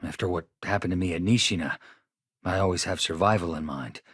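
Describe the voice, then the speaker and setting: An actor playing a soft-spoken, earnest male engineer haunted by loss, polite and measured, in a naturalistic video game style. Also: low-pitched, breathy edge, dry recording, studio quality. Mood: earnest, calm. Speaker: engineer and ship crew companion.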